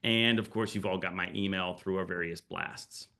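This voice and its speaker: A man's voice: sits low, fairly even intonation, moderate pace, bright, forceful tone. A man hosting an online information session as regions director, talking in a friendly, chatty way with small fillers and self-corrections. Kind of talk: casual scripted welcome on a video call. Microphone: video call.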